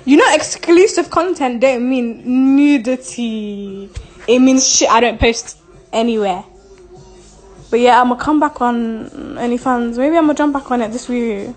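Blunt, high-voiced young woman on a phone livestream. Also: measured pace, poor audio. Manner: blunt, profane, laughing, reads comments aloud. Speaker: social media personality answering viewer questions. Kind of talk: casual livestream Q&A chat. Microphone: phone held close, selfie framing.

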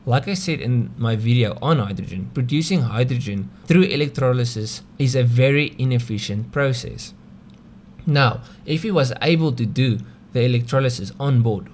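A man's voice: mid-range voice, moderate pace, darkish tone, slight background noise. A man with a South African accent narrating a scripted explainer video in a conversational way, often posing rhetorical questions. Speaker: video host.

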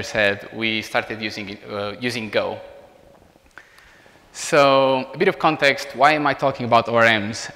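A man in his thirties with a Portuguese accent, giving an informal technical presentation as a software engineer. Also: medium pitch. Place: indoor meetup room beside a large screen. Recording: reverberant hall sound.

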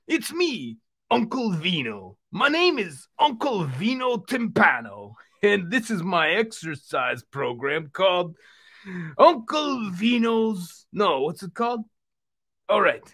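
Man as excitable, friendly male puppet workout host for a kids' show; animated pitch, bright delivery. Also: full character voice. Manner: exclamatory, repetitive, addresses the audience.

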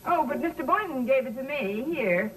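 A woman playing a dry, sardonic middle-aged high-school teacher who wisecracks in a 1950s sitcom. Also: animated, moderate pace, a crowd in the background. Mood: wry. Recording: noisy vintage soundtrack, audience behind.

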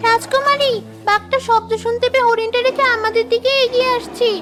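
A woman's voice: very high-pitched, steady tone, fairly quick, over music. A woman voicing a cautious, deferential young maid to a princess in a cartoon story, with an anxious manner. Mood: alarmed. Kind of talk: Bengali cartoon story dialogue.

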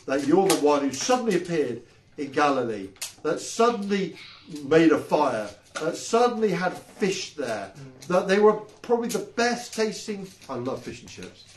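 A man in his fifties, a house church pastor, preaching informally to camera from his living room. He is animated and emphatic and asks many rhetorical questions. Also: on the high side, moderate pace, a little hiss or hum, low-quality recording. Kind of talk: informal home sermon to camera. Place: living room by patio doors.

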